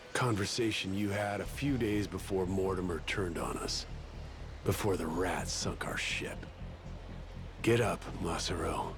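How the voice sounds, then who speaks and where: An actor playing a gruff, stern tough man in a video game cutscene, blunt and grave in delivery. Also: low-pitched, heavily acted.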